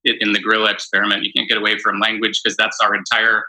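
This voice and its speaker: Flat, sharp-toned analytical man. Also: lowish voice, rapid-fire, poor audio. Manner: analytical, explains step by step. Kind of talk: casual group discussion.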